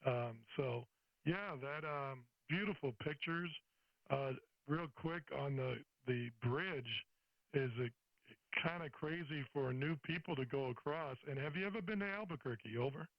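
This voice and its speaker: A man's voice: on the high side, unhurried. An American man from Massachusetts chatting casually and enthusiastically as an amateur radio operator. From Massachusetts, United States. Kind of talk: casual ham radio chat. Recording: roomy, slightly muffled radio-shack audio.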